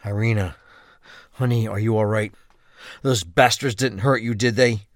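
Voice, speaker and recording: A man's voice: lowish voice, fairly even intonation, normal speed, no echo, studio quality, lightly acted. A man playing a guarded, determined husband in a crime audio drama, speaking with intensity. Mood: worried. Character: capable, determined husband of a kidnapped wife.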